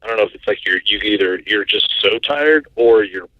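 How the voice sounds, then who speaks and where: A man's voice: fairly low pitch, brisk. Easygoing man on a dull remote line. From Iowa. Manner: relaxed, easygoing, chatty. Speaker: podcast co-host. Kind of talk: casual chat over a remote line.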